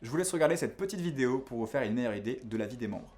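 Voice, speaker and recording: Guy, course teacher doing a scripted promo, talks really fast. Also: medium pitch, expressive, darkish tone. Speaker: video course instructor and founder. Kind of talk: scripted promotional narration to camera.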